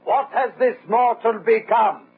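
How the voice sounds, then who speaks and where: An actor playing a grieving, righteous widower in a radio drama, solemn and oratorical like a preacher. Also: male voice, very high voice, steady tone, measured pace, breathy edge, exaggerated voice. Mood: impassioned, solemn. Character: grieving, righteous widower passionately rebuking his master. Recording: narrow-band old radio recording.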